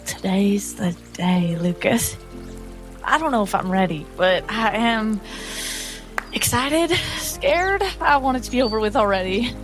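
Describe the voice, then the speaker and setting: A woman's voice: mid-range voice, measured pace, music in the background, voice pushed up, close to an everyday voice. Woman voicing an eager, nervous young huntswoman. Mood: nervous excitement. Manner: eager, informal. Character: excited, nervous young huntswoman, playful and affectionate.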